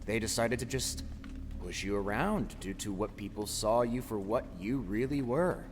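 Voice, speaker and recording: A man's voice: on the low side, normal speed, faint music behind, lightly acted. A man playing a taunting, sinister voice inside a tormented man's mind in an audio drama, mocking and theatrical. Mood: cruel taunting. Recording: long reverb, faint background noise.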